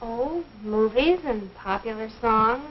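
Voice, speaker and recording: High girlish voice, eighteen, naive and lovestruck, earnest 1950s educational film delivery, muffled old soundtrack. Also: expressive, slow pace, slight background noise. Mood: naive, sure. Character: naive, lovestruck eighteen-year-old girl eager to marry. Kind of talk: 1950s instructional film acting.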